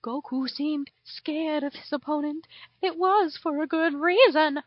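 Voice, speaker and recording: Southern belle dragon played by a woman, extremely high voice, theatrical storybook narrator, dull lo-fi sound. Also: no echo. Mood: dramatic storytelling. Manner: theatrical, drawn-out emphasis. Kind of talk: dramatic fan fiction reading. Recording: dull lo-fi home recording.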